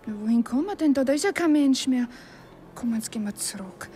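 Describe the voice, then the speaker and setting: An actress playing a coy, nervous young chambermaid with a Viennese accent and a fretful, breathy manner in a radio play. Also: female voice, high-pitched, lively intonation, fast, background noise. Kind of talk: 1960s German radio play acting. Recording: 1960s radio drama with music behind.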